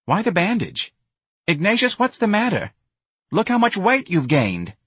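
A man voicing a blunt, intense young woman activist who talks in a flat, direct, slightly hostile way. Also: high-pitched, measured pace, breathy edge, no echo, pitched above the performer's own voice, full character voice. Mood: exasperated. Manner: brusque, nagging.

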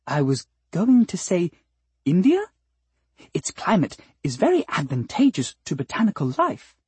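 A woman voicing a female alien rose bush in an audiobook, with a breathy, sweet voice and a polite, wheedling, nervous manner. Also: on the low side, wildly swooping pitch, a little slow, darkish tone, no room sound, voice pushed up, full character voice. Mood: anxious, bargaining.

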